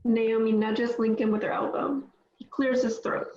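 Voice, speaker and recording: A woman's voice: on the high side, fairly even intonation, moderate pace, darkish tone. A woman reading screenplay stage directions as scripted narration. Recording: roomy, dull lo-fi, faint noise.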